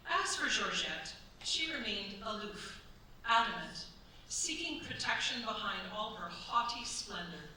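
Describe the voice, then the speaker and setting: Woman as bright-voiced dramatic narrator, very echoey. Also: mid-range voice, very animated, measured pace, full character voice. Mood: grave, dramatic. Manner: formal, dramatic. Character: dramatic female storyteller of a historical crime case. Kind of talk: scripted radio play narration. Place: staged performance in a cultural centre. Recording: echoey hall, distant mic, stage noise.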